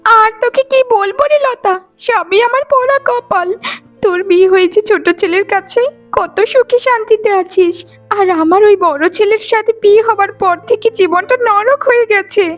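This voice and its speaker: Casting: spiteful twin-sister wife, extremely high voice, theatrical cartoon whine, loud music underneath. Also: female voice, moderate pace. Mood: feigned misery. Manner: theatrical, whining, scolding. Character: jealous, scheming young wife, twin sister, whiny and spiteful. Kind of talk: melodramatic cartoon voice acting.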